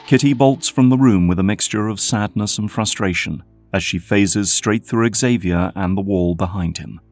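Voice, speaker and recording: Low voiced guy narrating an audio drama, scripted, bit of music behind. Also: moderate pace.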